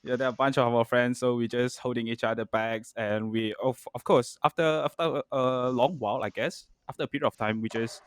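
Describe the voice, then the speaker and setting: A man's voice: mid-range voice, steady tone, fairly quick, hardly any pauses, smooth. A man hosting a podcast, chatting in a friendly, hesitant way with frequent restarts and fillers. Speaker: podcast host. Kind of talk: casual podcast conversation.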